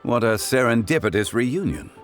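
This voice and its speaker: An actor playing a serene, learned gentleman consultant in a video game, with measured, formal, courteous speech. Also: mid-range voice, animated, faint music behind, close to an everyday voice.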